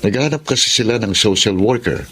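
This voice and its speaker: An actor playing a kindly, formal college dean with a measured, avuncular manner in a radio drama. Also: male voice, on the low side, expressive, fairly bright tone, breathy edge, heavily acted.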